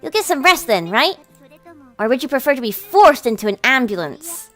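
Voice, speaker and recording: A woman's voice: high voice, big pitch swings, normal speed, a bit bright, faint music behind. A woman voicing a composed, teasing younger sister who dotes on her brother, prim and sweetly scolding. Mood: firm.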